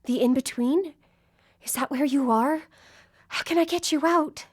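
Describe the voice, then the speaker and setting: A driven, earnest woman with a fairly high voice. She speaks intently and haltingly, as live radio drama. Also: slight background noise. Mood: urgent, pleading. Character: earnest, driven woman hunting for her lost friend.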